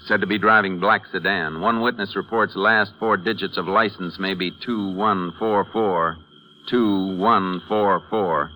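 An actor playing a steady, businesslike Texas Ranger, clipped and methodical, in a 1950s western radio drama. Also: male voice, on the low side. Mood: official, brisk. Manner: clipped, methodical, sober questioning. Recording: noisy old radio broadcast recording.

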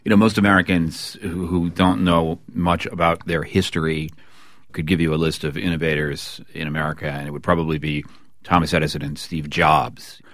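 A man's voice: extremely low pitch, flat delivery, moderate pace, a bit bright, no room sound, studio quality. A man hosting a broadcast interview, putting questions and comments to guests. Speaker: interview host.

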